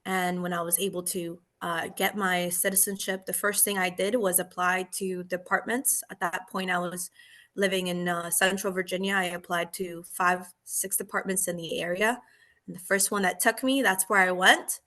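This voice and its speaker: A woman from Argentina, a police officer in her thirties, telling her story in a relaxed, chatty podcast interview. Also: on the low side, moderate pace.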